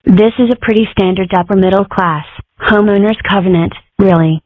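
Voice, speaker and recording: A woman's voice: mid-range voice, moderate pace, no room sound, lightly acted. A woman voicing a sardonic young fox girl in a comic dub, with a dry, wry delivery. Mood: dry, curious. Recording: muffled narrow-band lo-fi audio.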